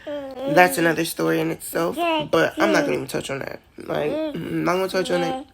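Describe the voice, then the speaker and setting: Woman, about thirty, low with vocal fry; casual rambling vlogger, emotional. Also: sing-song, fairly quick, over a noisy crowd. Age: 30s. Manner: emotional, rambling, tearful at times. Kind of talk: casual vlog chat.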